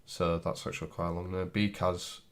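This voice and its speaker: A man's voice: low-pitched, little pitch movement, normal speed, a little hiss or hum. A man with a cold, sniffling, casually talking through a game tutorial as he clicks and types. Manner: thinks aloud while clicking and typing, loose and chatty. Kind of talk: casual screen-recorded tutorial.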